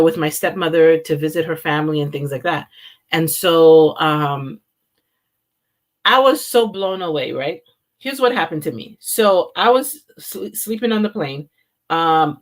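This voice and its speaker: A woman in her forties, born in Haiti and raised in America, giving an earnest, reflective faith talk with frequent ums and restarts. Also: low-pitched. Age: 40s. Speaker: speaker opening a teaching series. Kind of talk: informal sermon-style teaching.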